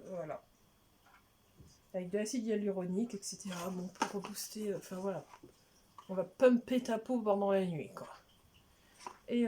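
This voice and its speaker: A woman's voice: mid-range voice, warm tone. Woman, casual beauty unboxing chat, rambling, self-interrupting, hiss in the background. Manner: chatty, rambling, self-interrupting, drops to near-whispers. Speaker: beauty vlogger.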